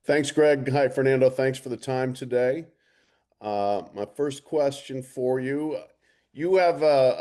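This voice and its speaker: A man's voice: medium pitch, a little mellow. A man working as a sports reporter, asking courteous questions in an interview.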